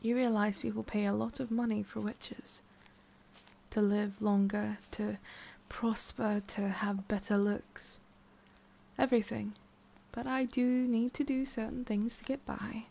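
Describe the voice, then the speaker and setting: A woman voicing a haughty, prickly witch with a hidden soft side in an ASMR roleplay, soft and often whispery with a creaky edge. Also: a little high, level tone, unhurried. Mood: smug, boastful. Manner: soft, often whispery, breathy sighs, curt asides.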